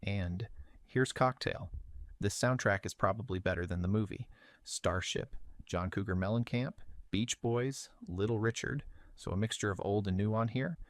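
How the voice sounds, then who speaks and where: A man's voice: on the low side, normal speed, dry recording, clean studio sound. A middle-aged man hosting a casual show-and-tell vlog, narrating in an informal way. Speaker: video host.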